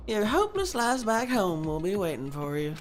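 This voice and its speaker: A woman's voice: mid-range voice, very animated, normal speed, heavy background noise, pitched above the performer's own voice, natural voice. A woman voicing a mocking robot bear villain from a video game, theatrical and taunting, with music behind. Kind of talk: live dubbing of visual novel dialogue.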